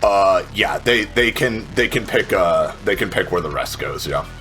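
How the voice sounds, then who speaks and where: Low-voiced male tabletop player, faint music. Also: lively intonation, moderate pace, a bit bright. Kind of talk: casual game talk, describing own character's actions.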